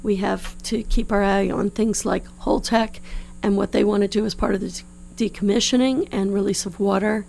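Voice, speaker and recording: A woman in her fifties from New Orleans, slightly hoarse, giving earnest, explanatory answers as a political candidate in a studio interview. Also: medium pitch, fairly even intonation, normal speed, darkish tone. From New Orleans, United States. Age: 50s. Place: radio studio. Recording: clear background hum and noise.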